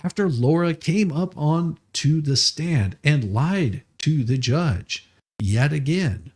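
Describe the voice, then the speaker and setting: A man's voice: fairly high pitch, animated, slow pace, a little mellow, slightly rough. A man reading documents aloud and adding blunt, sarcastic, exasperated commentary, often profane. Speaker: livestream host doing dramatic readings of legal filings.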